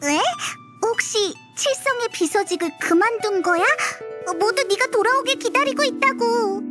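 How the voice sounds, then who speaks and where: Squeaky, very high-pitched little fairy. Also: female voice, normal speed. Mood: concerned. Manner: exclamatory, eager, chirpy. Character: tiny perky childlike female fairy companion. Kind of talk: Korean game voice acting. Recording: music behind the voice.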